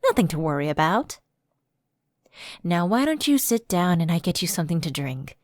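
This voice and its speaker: A woman's voice: lowish voice, very animated, normal speed, no echo, clean studio sound. A woman playing a personal assistant who is secretly a fallen angel, evasive and coaxing, in a conversational ASMR roleplay. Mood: nervously breezy.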